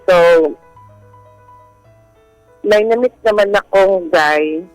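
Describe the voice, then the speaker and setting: A Filipino woman speaks as a guest sharing something personal. She tells it casually and haltingly, piece by piece, with some music playing behind her. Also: mid-range voice, dry recording. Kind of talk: hesitant casual storytelling. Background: background music.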